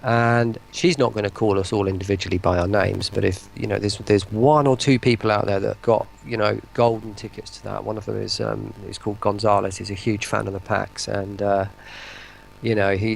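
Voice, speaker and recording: A man speaking as an interview guest on an online call, explaining things casually in a rambling, halting way. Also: on the low side, creaky. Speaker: interview guest, voice pack developer. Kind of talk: casual chat, explaining a product.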